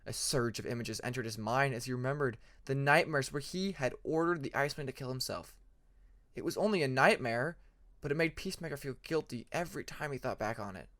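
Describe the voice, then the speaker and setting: A man narrating a fantasy story like an audiobook, in an expressive, dramatic manner. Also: mid-range voice, brisk. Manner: expressive, acts out dramatic passages. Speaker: fan fiction narrator.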